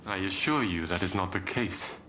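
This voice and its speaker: Deep-voiced, formal clan leader played by a man. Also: normal speed, heavy background noise, lightly acted. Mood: assuring. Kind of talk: video game cutscene acting.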